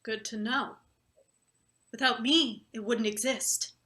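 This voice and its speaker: A woman acting a sharp, forceful, irritable female boss. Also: on the high side, steady tone, slow pace. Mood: accusatory. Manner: curt, accusatory, sarcastic. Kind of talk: live table read of satirical stage play.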